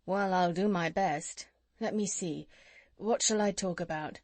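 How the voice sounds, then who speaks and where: A woman voicing a gentle, polite male animal doctor who speaks softly and courteously. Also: fairly low pitch, measured pace, no room sound. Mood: mild. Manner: mild, courteous, soft.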